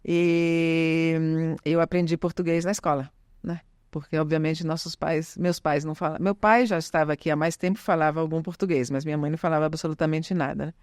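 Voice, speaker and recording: A woman's voice: low-pitched, steady tone, a little fast, darkish tone, smooth, no room sound, clean studio sound. An Italian-born middle-aged woman whose first language is Italian, chatting in a casual podcast interview, rambling and correcting herself often. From Italy. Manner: rambling, self-correcting, frequent "né?". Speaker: podcast guest.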